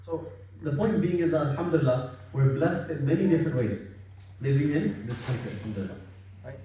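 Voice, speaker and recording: Man from Bangladesh, relaxed home religious talk, warm tone, heard from afar. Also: a little high, a little fast, a little hiss or hum. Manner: relaxed, repetitive, sprinkled with religious phrases. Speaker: halaqah speaker. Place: home living room gathering. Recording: echoey room, far from mic.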